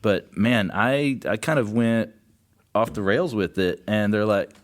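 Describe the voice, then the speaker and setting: A man's voice: lowish voice, unhurried. A man as a podcast guest, telling anecdotes casually and self-deprecatingly, chuckling as he goes. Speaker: podcast guest, game designer. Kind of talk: casual anecdotal interview chat.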